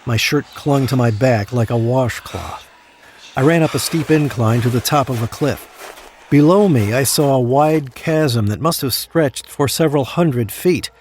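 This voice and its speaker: A man's voice: mid-range voice, a little slow, darkish tone. An actor playing an earnest, guarded dead man in Hell, in audio drama dialogue and first-person narration. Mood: grim storytelling. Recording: clear background noise.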